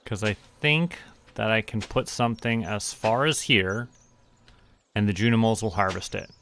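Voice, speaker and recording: A young man streaming a relaxed game, talking to himself and counting under his breath as he plans. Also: on the low side, moderate pace, a little hiss or hum. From Canada. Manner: muttering, counting to himself while planning. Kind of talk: relaxed gameplay commentary.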